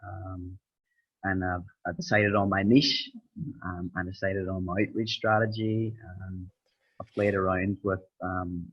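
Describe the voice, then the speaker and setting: Irish man, young adult, husky low voice, halting, lots of ums, lo-fi sound. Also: little pitch movement. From Ireland. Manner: hesitant, many ums, rambling. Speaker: interviewed student. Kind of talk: casual interview. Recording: dull lo-fi sound.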